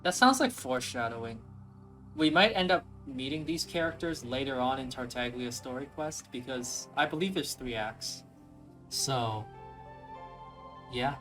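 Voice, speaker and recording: Man, let's play commentary, medium pitch, casual, thinking aloud, faint game music behind. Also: lively intonation, unhurried, a bit bright, poor audio.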